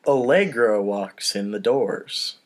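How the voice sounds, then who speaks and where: A man's voice: lowish voice, takes it slowly, noisy background. A man casually reading out script stage directions for a comedy table read, with informal off-the-cuff asides.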